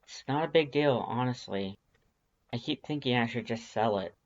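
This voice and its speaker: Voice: medium pitch, moderate pace, natural voice. An awkward, sardonic homebody , played by a story narrator, speaking in a hesitant, self-deprecating way. The delivery is casual and the sound is slightly dull. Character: awkward, sardonic, sleepy homebody telling their own day.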